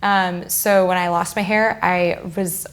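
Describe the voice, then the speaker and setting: Low gravelly woman in her twenties. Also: steady tone, unhurried. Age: 20s. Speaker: participant. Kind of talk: candid group conversation.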